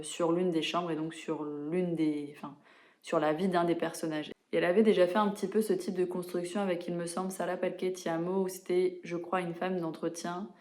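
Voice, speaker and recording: A young woman chatting casually about books she has read, with many fillers and quick self-corrections. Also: fairly low pitch, mellow. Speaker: book vlogger. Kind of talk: casual book review chat.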